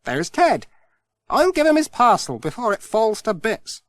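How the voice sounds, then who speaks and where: A storyteller voicing a cheerful, busy village postman who talks brightly and eagerly. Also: mid-range voice, very animated, a little slow, no echo, pitched above the performer's own voice. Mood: cheery. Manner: bright, sing-song and eager. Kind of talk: storybook read aloud.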